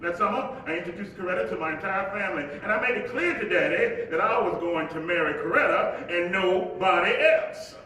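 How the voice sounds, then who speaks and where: A man acting a playful, devoted young husband in a staged play reading, with a halting, storytelling delivery. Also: sits high, pushed and bright. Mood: wry, storytelling. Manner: halting, repeats words, chuckling delivery. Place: large echoey room, live staged reading. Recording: very echoey live hall, distant mic, faint noise.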